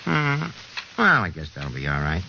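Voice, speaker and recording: An actor playing a wisecracking American small-time forger in an old radio drama, with slangy, hesitant, streetwise talk. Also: male voice, deep voice, huge pitch swings, moderate pace, gravelly, heavily acted. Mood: sly, casual. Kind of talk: 1940s radio drama acting. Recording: dull, noisy old radio recording.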